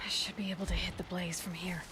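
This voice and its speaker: A woman's voice: fairly low pitch, flat delivery, quick pace, close to an everyday voice. Actress playing a determined young warrior woman, noisy background. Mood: hushed, focused. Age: young adult. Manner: assured, directive. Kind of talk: video game dramatic acting. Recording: noisy game ambience behind.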